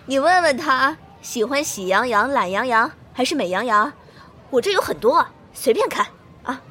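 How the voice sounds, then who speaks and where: A woman's voice: sits high, expressive, normal speed, a bit bright. A woman playing a chatty, cheerfully pushy middle-aged balloon vendor in an audio drama, with folksy, coaxing sales patter. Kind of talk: Chinese audio drama acting.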